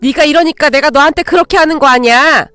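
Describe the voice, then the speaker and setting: A woman voicing a harsh, greedy middle-aged mother in a dramatized story, shrill and accusing. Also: fast talker, sharp tone, no echo, faint music behind. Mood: angry, scolding.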